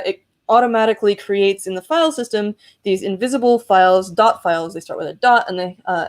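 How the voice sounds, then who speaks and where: A woman hosts a casual maker livestream with plenty of enthusiasm. Her voice sits at an ordinary pitch, and she talks informally, wandering from point to point as she demonstrates electronics. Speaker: maker hosting a live electronics show.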